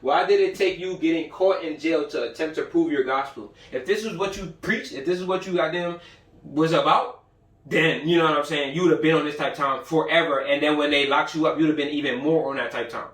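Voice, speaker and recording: An American man with a fairly high voice lectures on a livestream from an echoey room, a bit away from the mic. He talks in heavy slang, swears constantly and drives points home by repeating them emphatically. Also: fairly quick, fairly bright tone, recorded from a distance. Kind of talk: informal, profane livestream lecture.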